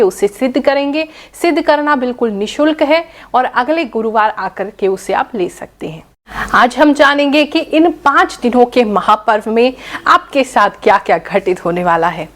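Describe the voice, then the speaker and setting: A slightly hoarse woman with a cold, an astrologer and spiritual guide, talking viewers through festival dates, rituals and their horoscope. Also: fairly high pitch. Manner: instructive, addresses viewers directly.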